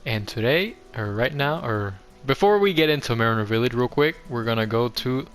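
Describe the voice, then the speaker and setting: Clear-voiced young man, rambling casually. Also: medium pitch, lively intonation, brisk, fairly bright tone. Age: young adult. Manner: rambling, self-deprecating, offhand. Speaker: gaming video host. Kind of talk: casual game tour commentary. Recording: music behind the voice. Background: background music.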